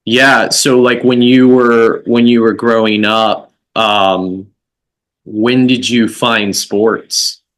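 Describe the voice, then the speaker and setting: A man hosting a podcast interview, speaking slowly and gently, affirming his guest with soft fillers. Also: medium pitch, level tone, poor audio. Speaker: podcast host.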